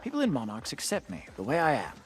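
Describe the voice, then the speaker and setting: An actor playing a courtly, welcoming fantasy town leader in a video game, speaking formally and graciously. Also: male voice, medium pitch, wildly swooping pitch, quick pace, soft background music. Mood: candid, dignified.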